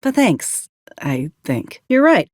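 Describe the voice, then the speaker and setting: A woman's voice: mid-range voice, very animated, takes it slowly, darkish tone, dry recording, clean studio sound. An actress playing a frank, caring young woman soldier in a game, earnest and plain-spoken with folksy turns of phrase. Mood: frank, troubled. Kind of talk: video game companion dialogue.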